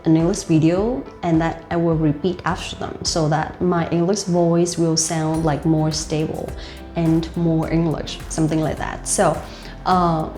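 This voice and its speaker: Young Vietnamese-accented woman coach, lowish voice, loose chatty delivery, roomy room. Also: mellow. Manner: loose, repetitive, many "like" fillers. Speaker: host and life coach. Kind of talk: casual speaking tips to camera. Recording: roomy with faint music.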